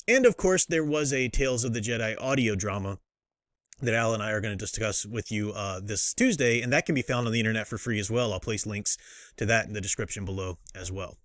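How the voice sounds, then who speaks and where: A man's voice: lowish voice, a little fast, a bit bright, no room sound, clean studio sound. A man giving a casual, unscripted review as a host, rambling and interrupting himself with a fan's enthusiasm. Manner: rambling, self-interrupting, enthusiastic fan talk. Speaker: host reviewing books and comics.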